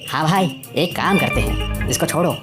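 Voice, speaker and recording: A woman voices a brash male sidekick, a farmhand turned petty thief in a cartoon. The voice is slightly low and lively, with music underneath. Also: normal speed. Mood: cocky.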